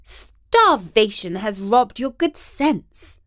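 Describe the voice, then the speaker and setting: A woman doing a whining, high-pitched storybook cat. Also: very animated, a bit bright, full character voice. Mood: whiny. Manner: whining, drawn-out and fussy. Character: whiny hungry skinny house cat.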